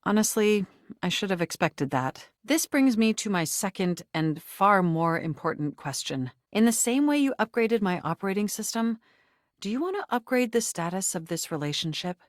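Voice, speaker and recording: A woman's voice: medium pitch, expressive, normal speed, no room sound, studio quality, natural voice. A woman voicing a sentient home computer in a dating video game, nerdy and romantic, with flirtatious, theatrical delivery and formal phrasing. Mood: earnest, formal. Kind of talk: video game character voice acting.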